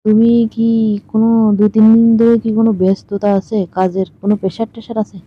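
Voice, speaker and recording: A woman's voice: on the high side, little pitch movement, normal speed, slightly rough, no room sound. Woman chatting on a muffled phone line. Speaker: caller in a staged phone conversation. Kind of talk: casual flirtatious phone chat. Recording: muffled lo-fi phone call recording.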